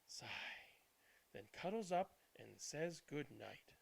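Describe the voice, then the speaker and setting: A man narrating a children's bedtime storybook in an expressive, deliberate way. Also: a little high, gravelly, poor audio. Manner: animated, deliberate reading with hushed good nights. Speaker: read-aloud channel host.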